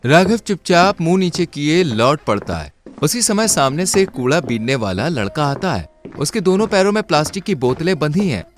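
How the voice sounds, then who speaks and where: A man narrating a scripted moral cartoon story in a steady storytelling manner. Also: a little high, moderate pace, faint music behind.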